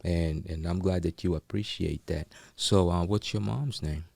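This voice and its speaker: Male host with a very deep voice, full of encouraging affirmations, dry close sound. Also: normal speed, gravelly, a little mellow, no room sound. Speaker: show host. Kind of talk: interview.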